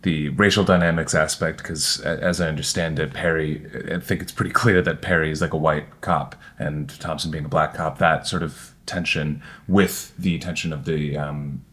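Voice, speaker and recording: Deep-voiced man, thoughtful and halting. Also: fairly even intonation, a bit bright. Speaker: table read actor. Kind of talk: casual analytical discussion.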